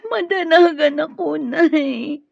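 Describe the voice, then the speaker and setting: A woman's voice: high-pitched, slow, vocal fry, no room sound, full character voice. A woman acting in a radio drama as an anxious, plaintive sweetheart weighed down by debt. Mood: worried, plaintive. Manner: fretful, plaintive. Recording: dull muffled radio drama recording.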